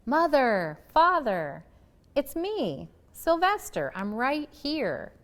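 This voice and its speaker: Young donkey son, played by a woman, raised pitch, desperate storybook pleading. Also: huge pitch swings, slow, big-room sound, heavily acted. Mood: desperate excitement. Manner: pleading, yearning.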